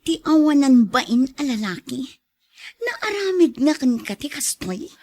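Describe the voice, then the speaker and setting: High-voiced supportive female friend, acted. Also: big pitch swings, measured pace, a little mellow, dry recording, clean studio sound, exaggerated voice. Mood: indignant, supportive. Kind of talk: Ilocano audio drama acting.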